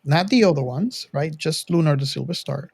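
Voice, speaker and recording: A man hosting a podcast in a casual, chatty style, talking in a halting, meandering way with emphatic sentence openings. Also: fairly high pitch, lively intonation, vocal fry, a little mellow, husky, no echo. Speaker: podcast host. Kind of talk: casual hobby chat.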